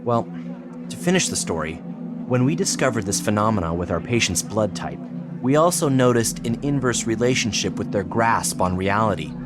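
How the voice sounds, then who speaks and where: An actor playing an earnest, persuasive psychiatrist in an audio drama, explaining in a measured, solemn way. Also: male voice, fairly low pitch, expressive, over music, lightly acted. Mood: urgent, pleading. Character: earnest, persuasive male psychiatrist pressing his case. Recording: clearly noisy background ambience.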